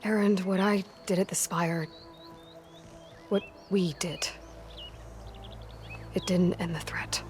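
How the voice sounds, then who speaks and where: Casting: determined young female game warrior, terse and self-reliant, slightly low voice, music underneath. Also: fairly even intonation, slow pace, pauses often, darkish tone. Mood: grave, guarded. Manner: terse, businesslike delivery. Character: determined young woman warrior, brisk, guarded and solitary. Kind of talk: naturalistic video game cutscene acting. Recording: music behind the voice.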